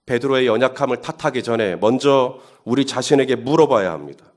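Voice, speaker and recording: A man's voice: mid-range voice, lively intonation, fairly quick, a little mellow. Conversational male pastor preaching. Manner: conversational, building to emphatic questions. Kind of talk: sermon at early-morning Holy Week service.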